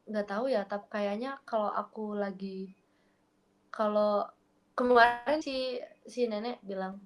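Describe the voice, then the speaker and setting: A woman's voice: medium pitch, steady tone, measured pace, poor audio. Chatty young woman with a Makassar accent. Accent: mixed Makassar. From Makassar, Indonesia. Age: young adult. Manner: chatty, storytelling, laughs easily. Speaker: video chat stranger. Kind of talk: casual chat. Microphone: video call.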